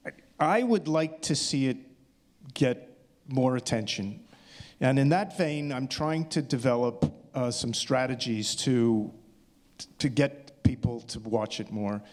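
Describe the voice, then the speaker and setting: Male filmmaker in Q&A, medium pitch, informal, halting and repetitive phrasing. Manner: hesitant, repeats words while thinking. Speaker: filmmaker answering audience questions. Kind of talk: informal question-and-answer talk.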